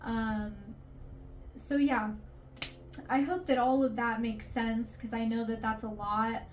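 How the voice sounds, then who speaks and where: A woman's voice: fairly high pitch, little pitch movement, takes it slowly, a little mellow, a little hiss or hum. A woman in her twenties, an artist, talking candidly and emotionally in a confessional vlog, rambling with fillers and casual swearing. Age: 20s. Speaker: artist vlogger.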